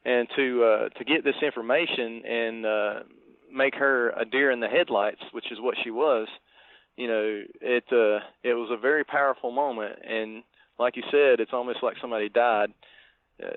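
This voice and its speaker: A man co-hosting a podcast, giving casual film commentary in a halting, reflective way over a phone-like connection. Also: medium pitch, dry recording. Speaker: podcast co-host. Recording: thin phone-like band-limited line.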